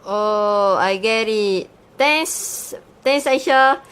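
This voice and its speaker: A woman's voice: mid-range voice, extremely slow, fairly bright tone, smooth. A woman voicing an agreeable male student puppet in a scripted amateur show. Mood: grateful.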